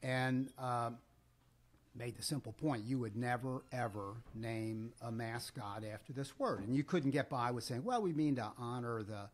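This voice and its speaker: Middle-aged American male podcast co-host, medium pitch, deliberate conversational storyteller with pauses.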